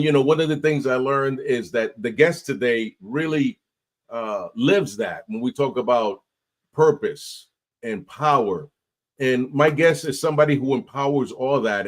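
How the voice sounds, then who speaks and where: Halting male podcast host. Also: medium pitch. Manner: halting, many fillers and restarts. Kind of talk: casual interview chat.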